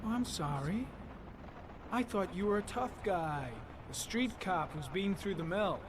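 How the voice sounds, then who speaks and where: Game role: measured, precise male investigator, dry and composed, with clear outdoor city noise. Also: sits high, lively intonation. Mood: sarcastic. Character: composed, dryly sarcastic male criminal investigator. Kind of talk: video game acting.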